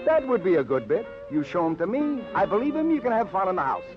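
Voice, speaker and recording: A brash American nightclub proprietor, sardonic and slangy, with a higher voice that swings up and down. He belongs to a hardboiled vintage radio play. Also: very animated, fast. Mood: breezy, mocking. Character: brash, wisecracking male nightclub owner. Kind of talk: old-time radio hardboiled character acting. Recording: dull vintage radio, music behind.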